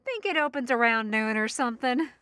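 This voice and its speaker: High, bright flippant young woman. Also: expressive, brisk, clean tone, dry recording, clean studio sound. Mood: groggy, flippant. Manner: teasing, breezy, uses pet names. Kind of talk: audio drama acting.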